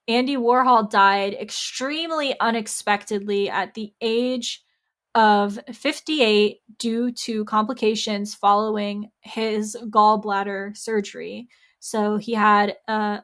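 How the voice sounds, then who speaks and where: A young adult woman hosting a solo podcast, chatty and upbeat, her voice a little hoarse from a dry throat. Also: medium pitch. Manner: chatty, upbeat, with fillers and laughs. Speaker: podcast host. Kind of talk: casual solo podcast talk.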